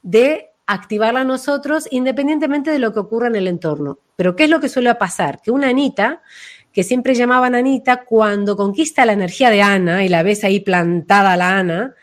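A woman explaining her own method as a voice practitioner in an expressive, conversational way, illustrating her points as she goes. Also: on the high side, brisk. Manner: expressive, acts out names and quotes to illustrate points. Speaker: voice and name-energy practitioner being interviewed.

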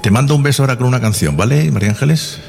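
A man's voice: mid-range voice, expressive, racing, vocal fry, darkish tone. A man from Granada with a Granada accent, hosting a late-night radio call-in show in a flirtatious, playful, teasing way. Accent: Granada Spanish. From Granada, Spain.

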